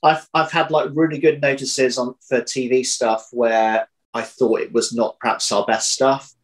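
A man's voice: mid-range voice, little pitch movement, unhurried. A man in his thirties talking casually and reflectively in an interview, rephrasing as he thinks aloud on a video call. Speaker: audio drama writer, interview guest. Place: home room, indoors. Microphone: video call, computer at arm's length.

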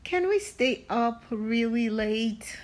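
A woman's voice: a little high, expressive, takes it slowly. A woman reading a storybook aloud to young children as host, in a playful, affectionate sing-song manner.

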